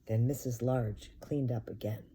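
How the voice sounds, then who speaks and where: A woman's voice: rumbling low, steady tone, unhurried, mellow, husky. A woman preschool teacher reading a picture book aloud expressively and talking directly to young children. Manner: addresses children directly with simple questions.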